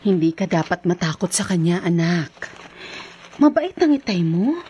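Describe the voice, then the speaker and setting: Calm gentle mom, radio drama, lowish soothing voice, bit of noise. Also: female voice, moderate pace. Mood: reassuring. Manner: soothing, earnest. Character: gentle reassuring mother of a small child.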